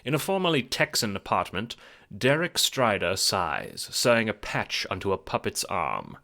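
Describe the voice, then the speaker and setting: A man's voice: on the low side, sing-song, moderate pace, creaky, pushed and bright. A man narrating a scripted audio drama in a theatrical, wry, rhetorical storytelling style. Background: music.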